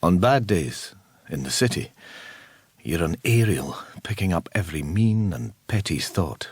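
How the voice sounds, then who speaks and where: Brooding man, low-pitched, slow, breathy husky voice, reflective radio drama narration. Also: expressive, exaggerated voice. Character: brooding psychic man narrating his inner thoughts. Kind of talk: intimate radio drama monologue.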